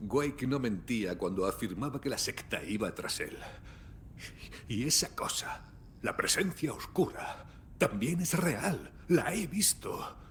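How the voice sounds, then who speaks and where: A man's voice: lively intonation, measured pace, fairly bright tone. An actor playing a wry, world-weary, blunt and stoic lawman in dramatic video game dialogue. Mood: grave. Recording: faint background rumble and noise.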